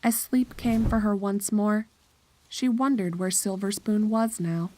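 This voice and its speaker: A woman's voice: medium pitch, fairly even intonation, a little slow, a little mellow, slight background noise, poor audio. A woman narrator reading a scripted horror story.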